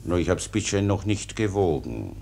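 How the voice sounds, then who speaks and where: An actor playing a cheerful, simple-minded soldier who rambles with naive, obedient earnestness in a German radio play. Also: male voice, sits low, sing-song, normal speed, creaky, dark tone, breathy edge, heavily acted. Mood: naively obliging.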